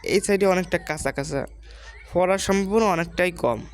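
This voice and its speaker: A woman's voice: on the low side, lively intonation, moderate pace. A woman with a Bangladeshi accent giving informal moral advice in a didactic, questioning way, repeating her points.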